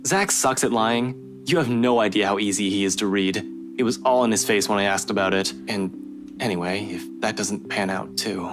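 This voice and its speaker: A woman's voice: very deep voice, moderate pace, voice pushed down. A woman voicing a young man comforting a close friend, reading a visual novel aloud over guitar music. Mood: casual, prodding.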